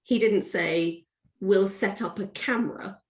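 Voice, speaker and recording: A woman's voice: medium pitch, unhurried. Chatty woman in a casual interview. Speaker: guest, audio drama writer.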